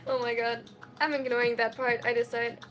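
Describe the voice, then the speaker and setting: A woman's voice: a little high, little pitch movement, brisk, music in the background. A woman of twenty-one chatting casually on a livestream, answering viewer questions in a giggly way full of "like" and "um". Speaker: streamer and college student.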